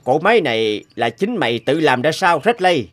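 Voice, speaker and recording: An actor playing a stern, scheming middle-aged factory boss in a cartoon, blunt and domineering. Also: male voice, a little high, sing-song, moderate pace, slight background noise. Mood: suspicious. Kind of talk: cartoon dubbing.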